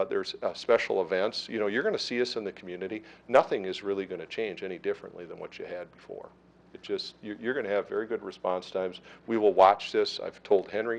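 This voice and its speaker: A middle-aged man who runs an ambulance service, answering questions at a council meeting in a steady, reassuring way with frequent fillers. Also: fairly low pitch, creaky.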